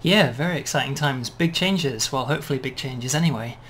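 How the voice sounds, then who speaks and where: A man's voice: medium pitch, a little fast, a bit bright. A man, a game designer casually walking through gameplay and explaining it step by step, often checking in with "right?". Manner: explains steadily with frequent "right?" and "um". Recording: faint background noise.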